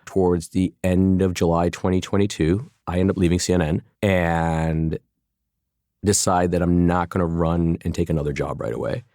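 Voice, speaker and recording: A man's voice: deep voice, fairly even intonation, moderate pace, warm tone, no echo, studio quality. A man in his forties from Chicago, a former journalist being interviewed, talking thoughtfully with frequent restarts and fillers. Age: 40s. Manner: thoughtful, many restarts and "you know" fillers. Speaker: guest, former journalist turned food entrepreneur. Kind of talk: casual interview conversation.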